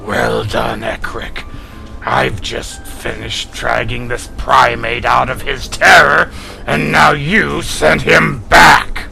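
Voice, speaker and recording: A man's voice: medium pitch, fairly even intonation, takes it slowly, pushed and bright, faint music behind, heavily acted. A man playing a proud, fierce alien cat warrior in a sci-fi audio drama, haughty and declamatory, full of contempt for humans. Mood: angry. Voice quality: gravelly, growling.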